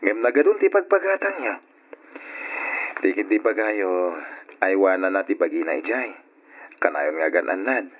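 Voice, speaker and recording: A man playing a calm, reassuring husband and father in an old radio drama. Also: low voice, normal speed, long pauses. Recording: muffled old radio recording.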